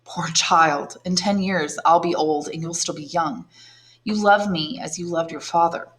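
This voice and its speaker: A woman reading aloud, playing a calm noble man who speaks in a measured, earnest way. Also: deep voice. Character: calm, noble older man, tender and commanding.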